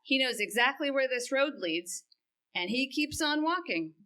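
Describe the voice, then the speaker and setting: A woman plays a tired, sardonic donkey in a church drama. The voice sits a touch high and rings bright and forceful. The asides come out dry and knowing. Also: expressive, normal speed. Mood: earnest. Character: wry weary donkey carrying Jesus. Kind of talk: amateur church dramatic reading.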